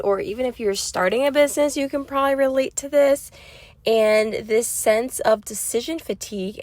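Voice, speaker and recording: A woman's voice: medium pitch, no echo. A woman with a stuffy, sick throat hosting a solo podcast, talking off the cuff with lots of fillers and restarts. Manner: chatty, rambling, many fillers and restarts. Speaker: podcast host. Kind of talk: unscripted solo podcast monologue.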